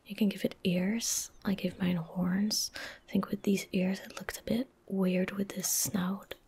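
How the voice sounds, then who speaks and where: A woman in her twenties giving soft-spoken ASMR game commentary in a breathy, gentle whisper, unhurried and close to the microphone. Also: medium pitch, flat delivery. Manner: slow, unhurried, gentle murmuring. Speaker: ASMR streamer. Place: small indoor room at a desk. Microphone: close desk microphone.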